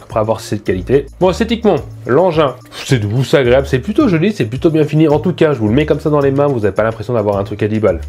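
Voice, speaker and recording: Male tech vlogger, stuffy from a cold, medium pitch, quick self-mocking chatter. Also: expressive, warm tone, husky. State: congested from a head cold. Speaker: tech reviewer. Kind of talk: casual unboxing review. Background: faint music from the speaker being tested.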